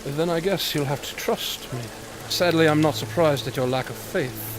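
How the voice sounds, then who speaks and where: An actor with a British accent playing a mysterious, polite time-travel guide in a formal, measured audio drama style. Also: male voice, fairly high pitch, a crowd in the background, lightly acted. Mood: patient, earnest. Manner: formal, measured, gently reproachful.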